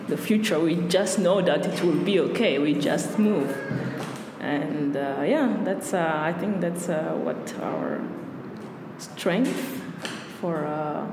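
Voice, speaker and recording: Hesitant woman in an echoey hall. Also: low-pitched. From Rwanda. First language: Russian. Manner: hesitant, searching for words. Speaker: podcast guest. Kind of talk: casual interview answers. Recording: echoey hall with loud background noise.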